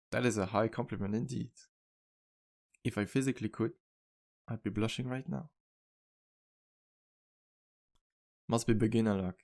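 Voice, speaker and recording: A man playing a charming, modest vampire bartender in an intimate ASMR roleplay, speaking gently with courteous, slightly formal phrasing. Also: mid-range voice, creaky. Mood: warm, modest. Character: charming, polite, modest male vampire bartender with guilty past. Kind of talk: ASMR roleplay, intimate one-sided dialogue.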